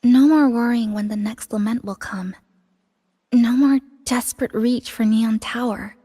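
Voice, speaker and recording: Slow, gentle young woman, echoing reverb. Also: fairly high pitch, breathy edge. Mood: wistful, relieved. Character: gentle, reflective young woman recovering from an ordeal. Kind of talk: scripted video game character dialogue.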